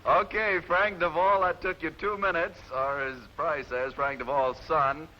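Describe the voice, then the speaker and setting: A man's voice: on the high side, fairly even intonation, measured pace, highs cut off, a little hiss or hum. A man hosting a live television game show with loose banter, on an old 1950s TV soundtrack. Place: television studio.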